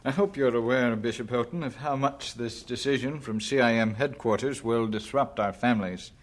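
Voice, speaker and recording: A man's voice: mid-range voice, normal speed, natural voice. A man playing a concerned, respectful mission colleague in an old-fashioned radio drama, speaking thoughtfully and with some hesitation.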